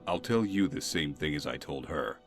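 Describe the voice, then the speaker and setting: A man's voice: extremely low pitch, animated, normal speed, faint music behind. A man acting a weary, stern city guardsman in a video game, dry and exasperated.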